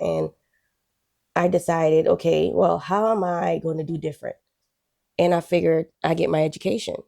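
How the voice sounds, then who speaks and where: A woman in her forties from Guyana, a guest telling her life story in a relaxed, chatty way. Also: fairly low pitch, vocal fry. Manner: relaxed, chatty, with frequent "um" and "you know".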